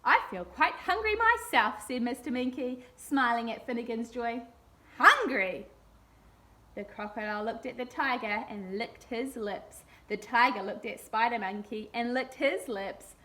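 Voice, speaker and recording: A woman's voice: on the high side, fairly even intonation, moderate pace, few pauses, a bit bright. A woman reading a children's picture book aloud expressively.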